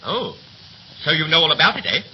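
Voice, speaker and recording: A young third officer in a 1930s radio play, with a highish, very animated voice. He is breezy, clipped and slangy, with a resentful edge. The recording is muffled, with a faint hiss. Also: a little fast. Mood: bitter, indignant. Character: young ship's third officer, chatty, scornful, bitterly resentful.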